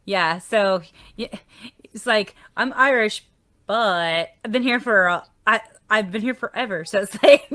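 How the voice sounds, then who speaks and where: A woman from South Carolina hosting an online radio show, chatty and excitable, laughing often as she interviews a guest. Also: medium pitch, a little slow. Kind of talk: casual interview chat.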